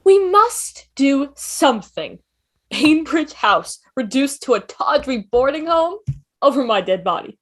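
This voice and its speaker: Casting: prudish grande-dame ghost for sitcom read woman performing, very high voice, haughty and deliberate. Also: very animated, a bit bright, heavily acted. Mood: indignant.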